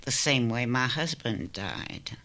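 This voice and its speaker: A man's voice: mid-range voice, expressive, takes it slowly, bright, forceful tone, heavily acted. A man playing a bitter, vengeful widow in a radio western, cold and accusing. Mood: cold menace. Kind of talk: old-time radio western drama acting.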